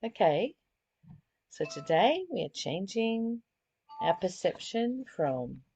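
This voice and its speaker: A woman giving an informal spiritual lesson, reading aloud deliberately and adding chatty explanations of her own. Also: medium pitch, sing-song, a little mellow, muffled recording, slight background noise. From Australia. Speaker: spiritual study host reading and explaining a book.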